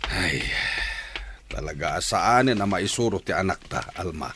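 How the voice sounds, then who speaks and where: A man playing a weary, measured older brother and disappointed father in a radio drama. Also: low voice, lively intonation, fairly bright tone, a little hiss or hum. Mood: weary disappointment.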